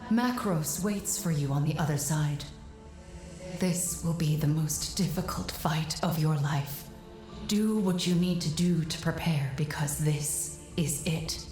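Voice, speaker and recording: An actress voicing a steadfast mystical guide in a fantasy video game, grave and measured as she advises a warrior. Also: sits low, darkish tone. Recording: echoey, music behind. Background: game score.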